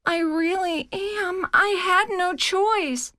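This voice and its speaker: A woman voicing a sad, apologetic little male storybook creature in a small, soft, mumbling voice. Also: extremely high pitch, takes it slowly, no echo, voice pushed up, exaggerated voice. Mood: sorry, pleading. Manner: mournful, mumbling.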